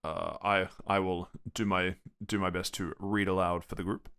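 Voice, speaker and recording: A man's voice: low-pitched, level tone, normal speed, fairly bright tone, clear, resonant tone. A man with a New Zealand accent hosting a casual gaming podcast, easygoing and reading listener questions aloud to his co-hosts. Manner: laid-back, reads listener questions aloud. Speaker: podcast host.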